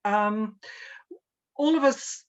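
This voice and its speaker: A middle-aged woman giving an online presentation, halting and full of fillers and restarts. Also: medium pitch, low-quality recording. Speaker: webinar presenter. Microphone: video call.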